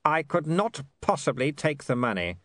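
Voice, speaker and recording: A proud older lord in an audiobook, as a male narrator plays him. He speaks with cold, pompous formality and expressive pitch, in a dry, close sound. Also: moderate pace, no room sound. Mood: coldly bitter. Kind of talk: audiobook character dialogue.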